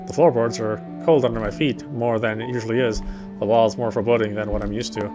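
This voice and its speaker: A man reading a horror game story aloud, with expressive, lingering narration. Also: on the low side, fast talker, music in the background. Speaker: let's player reading a visual novel aloud.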